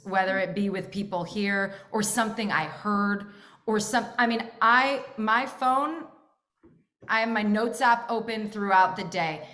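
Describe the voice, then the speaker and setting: A young woman from San Francisco, a guest chatting casually in an interview, animated and full of fillers. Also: medium pitch. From San Francisco, USA. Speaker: guest, actress and content creator.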